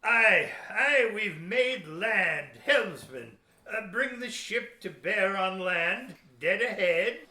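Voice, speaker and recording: A man playing a gruff, shifty captain of an old sailing ship in a historical audio play. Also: high-pitched, expressive, slow pace, hardly any pauses, sharp tone, full character voice. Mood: commanding. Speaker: amateur audio theatre actor. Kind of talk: historical radio play acting. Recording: roomy, echoing room sound.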